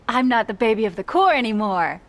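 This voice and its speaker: A woman playing a confident, eager young sniper in a video game cutscene, brisk and cheeky. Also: fairly high pitch, a bit bright, full character voice.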